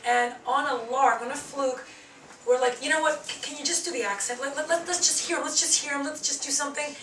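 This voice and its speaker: A woman, a theater director, talking excitedly and quickly, repeating herself. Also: high voice. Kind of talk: casual interview remarks. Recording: echoey room from far off, noisy.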